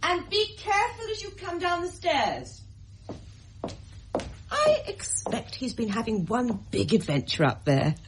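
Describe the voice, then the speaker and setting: A woman's voice: high-pitched, wildly swooping pitch, measured pace, a little hiss or hum, full character voice. A woman playing a genteel, anxious widowed mother in a radio mystery drama, with a polite, refined, fretful manner. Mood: fretful, motherly.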